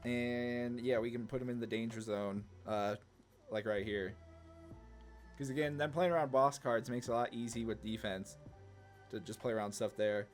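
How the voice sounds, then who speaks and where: A man's voice: mid-range voice, steady tone, normal speed, faint music behind. A man giving casual, slangy card game commentary, thinking aloud hesitantly as he plays. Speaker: gaming channel host.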